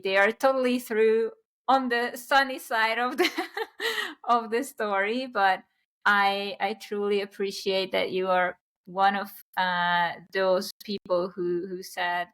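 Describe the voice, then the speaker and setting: Slow-talking woman podcast host. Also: medium pitch, fairly bright tone. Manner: unhurried, hesitant, repeats words while framing questions. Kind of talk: casual interview chat.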